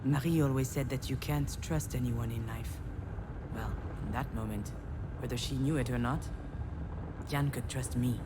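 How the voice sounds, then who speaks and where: A woman's voice: a bass voice, moderate pace, very noisy. An actress playing a wry, self-deprecating failed screenwriter, confiding her thoughts as a game's narrator in a sardonic way. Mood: reflective. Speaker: narrator and protagonist. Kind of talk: first-person inner monologue in a narrative game.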